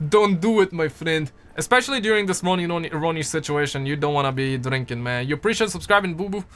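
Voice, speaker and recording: A man's voice: high-pitched, fairly bright tone. A young man from Pakistan, chatting casually on a livestream and answering viewer comments in a rambling, playful way that repeats words. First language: Urdu.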